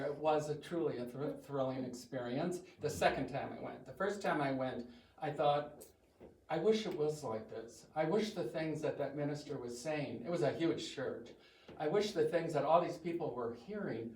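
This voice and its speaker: An older man from the US Midwest with a big voice, giving a chatty, self-joking talk to a church congregation. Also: a little high. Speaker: guest speaker. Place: church hall. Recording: echoey hall from far off.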